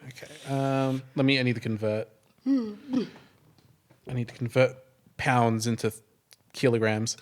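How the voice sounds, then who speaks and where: A man playing an improvised comedy tabletop game, chatting casually and thinking aloud in an excitable, halting way. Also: mid-range voice. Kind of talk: casual comic improvised roleplay.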